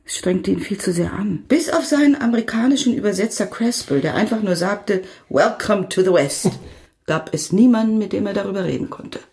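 An actress in a radio drama playing an earnest confidante who recalls a troubled writer in a reflective, precise way. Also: female voice, mid-range voice, a little fast, a little mellow, close to an everyday voice. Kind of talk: literary radio drama monologue.

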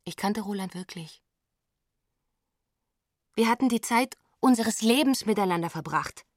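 A woman's voice: medium pitch, lively intonation, unhurried, no echo, studio quality. An actress playing a wistful, intense woman confiding about a lost love in a radio drama, in short theatrical phrases that linger on words. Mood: wistful, bitter.

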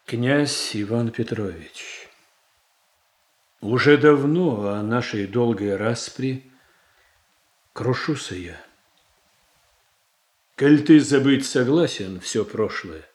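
An actor playing a self-controlled, diplomatic boyar in a classical radio tragedy, formal and restrained. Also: male voice, medium pitch, slow, long pauses, a little mellow, roomy sound, close to an everyday voice. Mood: conciliatory. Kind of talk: classical Russian stage tragedy acting for radio.

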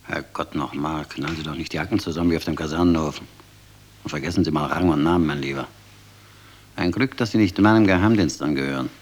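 An actor playing a ruthless intelligence colonel in a German radio drama, brusque, commanding and cynically mocking. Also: male voice, extremely low pitch, fast. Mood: irritated, commanding. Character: ruthless, scornful intelligence colonel, fanatical conspirator.

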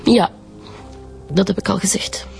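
A woman's voice: medium pitch, steady tone, measured pace, creaky, faint music behind. An actress with a Flemish accent playing a bitter, vengeful woman in a radio drama. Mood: resigned. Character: bitter, vengeful woman confronting those who wronged her.

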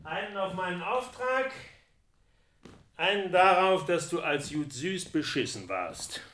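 The eager friend in a radio drama, practical but anxious to keep the peace. His voice sits a little high and moves up and down with real animation. He talks naturally, coaxing and placating. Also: expressive, a little slow, pushed and bright, heavily acted. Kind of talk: naturalistic German radio play dialogue.

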